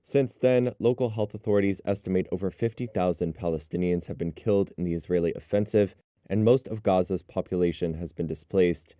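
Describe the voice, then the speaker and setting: Deep, mellow male news narrator. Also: no room sound, studio quality. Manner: measured, even delivery. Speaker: news reporter.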